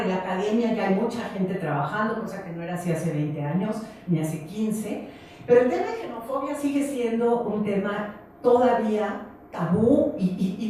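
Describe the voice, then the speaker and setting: A woman with a Mexican accent introducing a speaker at a conference in a semi-formal, hesitant way, often pausing mid-phrase. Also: mid-range voice, mellow. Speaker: event moderator introducing a speaker. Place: large echoey conference room. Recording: echoey hall, distant mic, faint noise.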